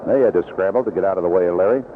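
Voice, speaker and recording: A man's voice: deep voice, slight background noise. Man calling baseball on tinny vintage radio. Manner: unhurried description between plays, rising excitedly on action. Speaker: baseball play-by-play announcer. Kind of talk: live 1970s radio sports commentary. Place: outdoor ballpark broadcast booth.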